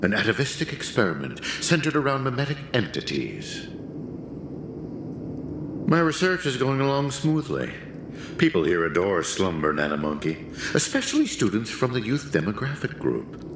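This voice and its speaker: An actor playing a pompous, condescending research scientist in a video game, lecturing theatrically. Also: male voice, fairly low pitch, sing-song, moderate pace, echoey room, noisy background. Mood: grandiose. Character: pompous second-class male research scientist, grandiose and condescending. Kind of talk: dramatic video game dialogue.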